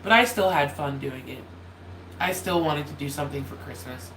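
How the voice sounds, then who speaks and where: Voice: mid-range voice, normal speed, creaky, fairly bright tone. A YouTuber chatting casually on a holiday livestream, with a nasal, slightly cracking voice, often apologizing and losing the thread. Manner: apologetic, loses track and restarts often. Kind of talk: casual rambling chat to viewers. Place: room at home with a loud space heater. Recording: roomy echo, faint heater hum.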